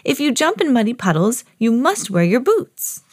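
A woman's voice: on the high side, very animated, moderate pace, darkish tone, dry recording, pitched above the performer's own voice. A woman voicing an eager, slightly bossy little girl pig for a children's storybook. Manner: eager, exclaiming.

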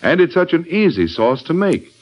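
American guy doing a vintage radio ad, friendly and folksy, really lively pitch, lo-fi sound. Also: normal speed. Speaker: radio announcer, sponsor spokesman. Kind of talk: scripted 1940s radio commercial. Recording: dull lo-fi old radio recording.

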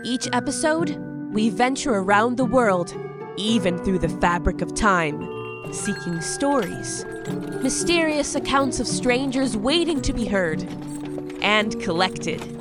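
A woman's voice: a little high, lively intonation, a little slow. A woman playing a curious, impatient young apprentice, narrating in the first person from a script. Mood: eager, hushed wonder. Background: music.

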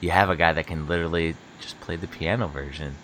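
Laid-back American man with a bright edge. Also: low-pitched, steady tone, quick pace, rough recording. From United States. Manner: laid-back, rambling, laughs often, many fillers. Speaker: podcast host and book publisher. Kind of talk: casual interview chat.

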